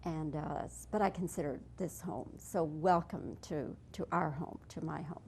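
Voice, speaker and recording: A woman's voice: deep voice, normal speed, creaky, darkish tone, slight background noise. A woman TV interviewer asking short, friendly, probing questions.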